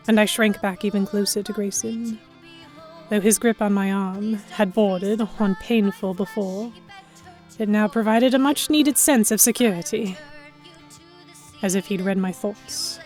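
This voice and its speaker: A woman's voice: medium pitch, fairly even intonation, unhurried, darkish tone, soft background music. A woman reading scripted first-person narration for an audio drama.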